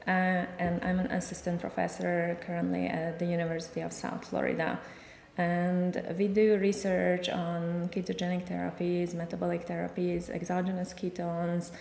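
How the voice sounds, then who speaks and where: A woman's voice: on the low side, gravelly, darkish tone, slight background noise. A woman from Hungary with a Hungarian accent, answering interview questions about her research with cheerful small laughs and restarts. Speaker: guest scientist. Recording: echoey conference room.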